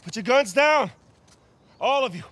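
Urgent, lively American adventurer. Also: male voice, medium pitch, breathy edge, dry recording. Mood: urgent, shouting. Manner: urgent, halting, imploring. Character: earnest, anxious male adventurer pleading and bargaining. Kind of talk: video game cutscene acting.

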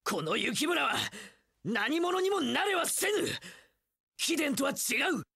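A hot-blooded young samurai general for a video game. The voice is high for a man and rasps with a gritty edge. He declaims earnestly in old warrior speech, with very lively intonation. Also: slow, no room sound, studio quality, exaggerated voice. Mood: fervent, shouting. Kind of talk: video game character voice lines.

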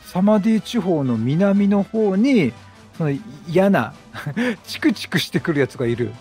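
A talkative man hosts a let's-play in a high, very animated voice. He exclaims often and addresses the characters directly. Faint music plays behind him. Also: unhurried. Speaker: gaming host. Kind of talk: chatty let's-play commentary, reading game dialogue aloud.

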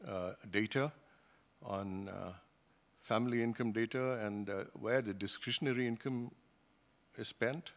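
A man in his sixties from Singapore, speaking at a conference panel table into a gooseneck mic, measured, with frequent fillers and restarts. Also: mid-range voice, a bit bright. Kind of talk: academic panel talk.

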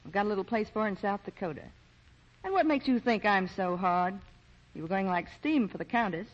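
An actress playing a tough, world-weary woman crook with a soft spot, in 1940s radio drama style. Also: medium pitch, animated, a little fast, lightly acted. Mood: guarded, wry. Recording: muffled 1940 radio broadcast.

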